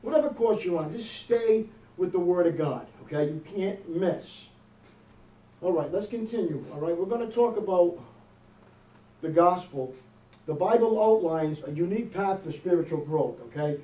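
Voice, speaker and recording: A man's voice: high-pitched, mellow. A middle-aged male pastor preaching a sermon in a conversational style, often checking in with "okay?" and "amen?". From United States. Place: small church hall with wood-paneled wall. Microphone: far camera, no visible mic. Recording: echoey room from far off.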